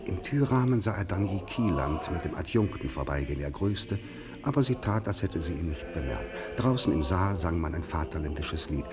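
Loud music runs under the male narrator of a 1950s radio play. His voice sits fairly low, delivering literary prose with wry observation. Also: expressive, moderate pace. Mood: observant.

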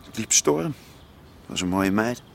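An actor playing the son of a criminal pub owner in a naturalistic radio drama, talking in a hesitant, reflective way. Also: male voice, on the low side, animated, measured pace, gravelly, a little hiss or hum. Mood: wistful, confiding.

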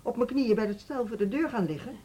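Slightly husky, stern female director. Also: a little high, fast, warm tone. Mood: stern, unyielding. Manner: stern, stubborn, formal. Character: rigid, principled female music school director, stern and unyielding. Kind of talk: Dutch radio drama acting.

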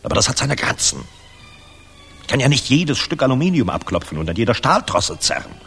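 An actor playing a cynical, jovial wartime German air force general in a 1960s radio drama, blunt, colloquial and worldly. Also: male voice, medium pitch, sing-song, brisk, creaky, a bit bright, breathy edge, faint music behind, full character voice. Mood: confident, matter-of-fact.

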